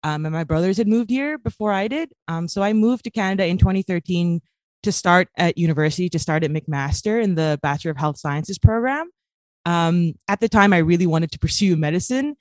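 A woman's voice: fairly low pitch, animated, a little fast, a little mellow, no room sound. A woman in her late twenties who grew up in Kuwait, speaking as a podcast guest in an earnest, casual way with many fillers. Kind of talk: casual interview talk.

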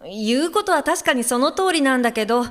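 A woman's voice: high voice, expressive, brisk, a bit bright. A woman playing a perky, nosy guide helper with a teasing, exclamatory, slangy way of talking in an audio drama. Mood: reflective. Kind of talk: audio drama acting with narration.

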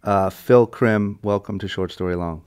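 A man's voice: on the low side, normal speed, a little mellow, smooth, no echo, clean studio sound. A man hosting an interview podcast, talking in an eager, rambling way with lots of fillers and restarts. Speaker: podcast host. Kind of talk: casual interview chat.

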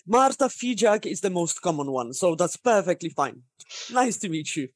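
A woman's voice: fairly low pitch, very animated, normal speed, no echo. Polish woman in her 30s, chatty, jokes at her own expense, dry close mic. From Poland. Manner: self-deprecating, chatty, laughs often. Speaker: game designer guest. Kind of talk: casual podcast interview.

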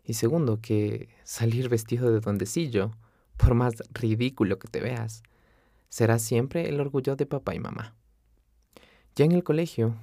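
A man's voice: medium pitch, creaky, warm tone, no echo, studio quality. A young man from Quito with an Ecuadorian accent, telling his own life story in an earnest, confessional way. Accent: Quito Ecuadorian Spanish. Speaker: podcast host. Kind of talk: personal storytelling monologue. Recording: faint music underneath.

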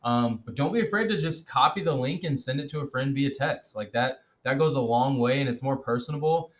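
A man's voice: medium pitch, a little fast. A young man hosting a casual podcast, upbeat and joking, with plenty of fillers. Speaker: podcast host.

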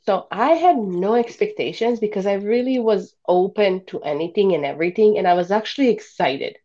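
A woman from Bosnia, a podcast guest in her thirties telling animated stories in a casual interview and laughing often. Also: medium pitch, brisk, highs cut off.

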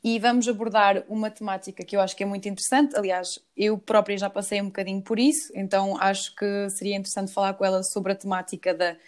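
A woman's voice: mid-range voice, fairly even intonation, quick pace, few pauses. A woman from Switzerland hosting a podcast interview in a chatty, conversational way. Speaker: podcast host.

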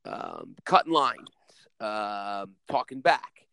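A man's voice: lowish voice, wildly swooping pitch, slow, gravelly, sharp tone, dry recording, studio quality. A man co-hosting a podcast, who teaches school, talking earnestly in a rambling way with many restarts and a commanding voice. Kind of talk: casual podcast chat.

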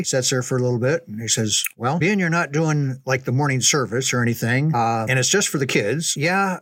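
An older man reminiscing casually in a folksy, unhurried, conversational way, as a storyteller. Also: mid-range voice, a bit bright, dry recording. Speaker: storyteller recalling ministry memories.

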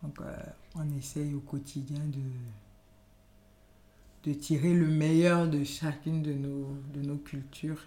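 Podcast guest: woman from Benin, first language Yoruba, dark mellow tone, reflective and halting, echoey room. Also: low-pitched, little pitch movement. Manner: hesitant, many fillers and restarts, thoughtful. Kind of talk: conversational interview.

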